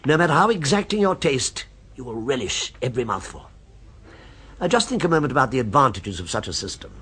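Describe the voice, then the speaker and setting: A man's voice: mid-range voice, big pitch swings, a little fast, a bit bright, slightly rough, exaggerated voice. An actor in an old radio drama playing a refined man obsessed with a restaurant, who speaks in a precise, insinuating, knowing way. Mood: knowing, earnest. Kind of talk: 1970s radio drama acting. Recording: old radio broadcast recording.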